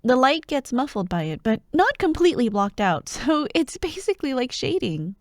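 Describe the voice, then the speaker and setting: Woman acting high-pitched, animated, playful young friend. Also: moderate pace, dry recording, clean studio sound. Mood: chatty, proud. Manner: playful, teasing, animated, with frequent giggles and mock drama. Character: excitable young woman, playful Halloween-obsessed childhood friend. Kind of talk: ASMR roleplay acting, addressing the listener.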